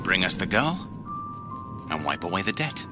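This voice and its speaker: An actor playing a measured, detached emissary who ominously collects a debt in a video game drama. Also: male voice, low voice, sing-song. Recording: loud ominous music over the voice.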